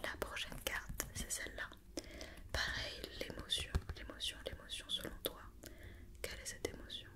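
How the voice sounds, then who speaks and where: A woman whispering an ASMR roleplay as a doctor, giving soft, repetitive, reassuring instructions. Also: moderate pace.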